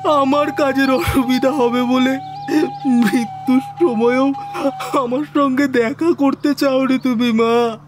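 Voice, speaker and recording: An actor playing a grief-stricken scientist and devoted son in an audio drama, speaking in an emotional, halting way. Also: male voice, extremely high pitch, fairly even intonation. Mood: anguished, weeping. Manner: slow, emotional, halting with repeated words. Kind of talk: dramatized audio story acting. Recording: studio voice under loud music.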